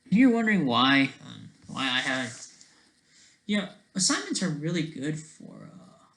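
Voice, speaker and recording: A man talking off the cuff in a casual, rambling monologue, halting and jumping between thoughts, often checking in with questioning tags. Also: a little high, big pitch swings, vocal fry, a bit bright. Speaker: solo online video creator. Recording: echoey, roomy sound.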